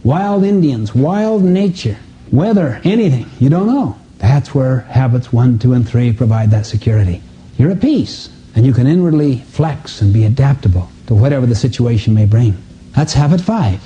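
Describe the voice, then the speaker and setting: A man speaks as a motivational lecturer in a live seminar. His voice is very dark and mellow at a middling pitch, and he leans on emphasis and rhetorical questions. The live recording is muffled, with faint room noise behind him. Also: sing-song, moderate pace.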